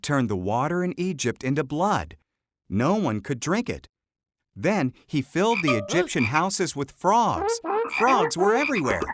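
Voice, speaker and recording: A narrator reading a children's Bible story in simple, measured short phrases, in a scripted storytelling style. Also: medium pitch, big pitch swings, no room sound. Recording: loud music under the voice. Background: loud background music.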